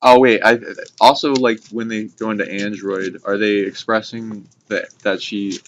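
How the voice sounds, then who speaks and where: A man's voice: fairly low pitch, steady tone, a bit bright. A man talking casually and hesitantly about spiritual ideas as a host, rambling and swearing now and then. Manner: hesitant, halting, casually profane. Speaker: host explaining esoteric ideas. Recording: muffled, noisy hobby recording with clicks.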